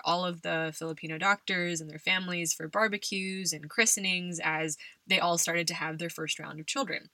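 A woman's voice: lowish voice, pushed and bright, no room sound. A young adult woman from California hosting a podcast, telling personal stories conversationally and laughing often. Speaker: podcast host.

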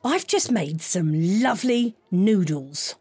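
A woman voicing a kind, fussing village mother with a husky voice. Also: fairly low pitch, expressive, takes it slowly, darkish tone, dry recording, heavily acted. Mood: doting.

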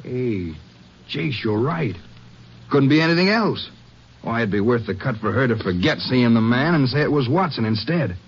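A man's voice: mid-range voice, lively intonation, moderate pace, slightly rough. An actor playing an earnest lawman sidekick, folksy and inquisitive, in an old-time radio western. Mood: puzzled, thoughtful. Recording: muffled old radio recording.

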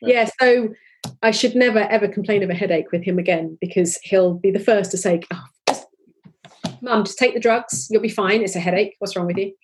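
A woman's voice: mid-range voice, a little fast, slight background noise. A woman in her forties, a podcast guest, talking earnestly and openly with many restarts. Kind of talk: candid conversational interview.

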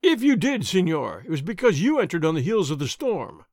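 A man's voice: high voice, big pitch swings, normal speed, no room sound, studio quality. A man playing a thin-voiced, haughty young aristocrat. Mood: polite. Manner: polite, haughty, precise. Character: languid, proud young gentleman aristocrat, aged twenty-four.

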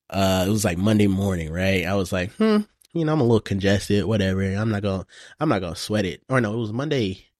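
A relaxed man chatting on a podcast, spinning casual stories and peppering them with slang. His voice sits fairly low, recorded close and dry with no room sound. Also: dry recording. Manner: laid-back storytelling, lots of "bro" and "you know". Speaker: podcast co-host. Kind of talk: casual chat.

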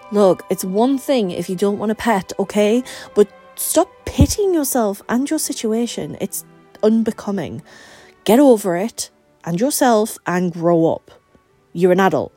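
A woman's voice: medium pitch, mellow, husky. A woman voicing a blunt, teasing, bossy female co-worker in an audiobook story, speaking frankly and emphatically. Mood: stern.

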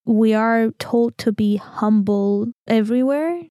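A young woman from Armenia speaks English with an Armenian accent, her voice mellow and warm rather than bright. She chats in a relaxed, rambling way, leaning on fillers and laughing often. The sound is close and dry, with no room around it. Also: fairly high pitch, slow pace, clean tone, no echo. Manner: chatty, lots of "like" and "you know", laughs easily. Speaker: guest singer-songwriter. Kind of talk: casual interview chat.

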